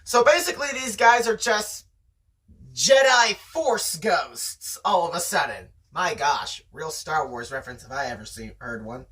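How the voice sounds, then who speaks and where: Someone reading a novel aloud in an animated, theatrical way, with chatty asides to the listener. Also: medium pitch, a little slow, recorded from a distance.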